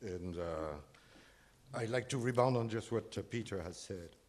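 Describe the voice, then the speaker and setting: A man talks from the floor in a panel discussion. His voice sits somewhat low, and he pauses and restarts often as he searches for words. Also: a little mellow. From Ecuador. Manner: halting, many fillers and restarts. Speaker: conference participant.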